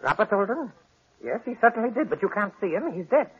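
Crotchety high-pitched old man. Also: big pitch swings, fast talker, breathy edge, heavily acted. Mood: irritable. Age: older. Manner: querulous, blunt. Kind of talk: 1940s radio acting. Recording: tinny, noisy old radio recording.

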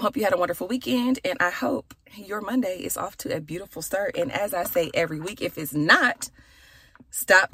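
Raspy lady podcaster chatting from her car, lowish voice, dry close sound. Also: no echo. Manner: chatty and warm, with "y'all" and "okay". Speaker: podcast host. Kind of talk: casual chat with prayer.

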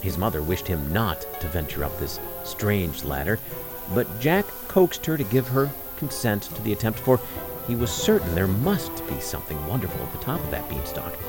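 Expressive low-voiced male storyteller. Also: moderate pace, music in the background, rough recording. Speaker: storyteller, narrator. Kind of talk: scripted fairy tale narration.

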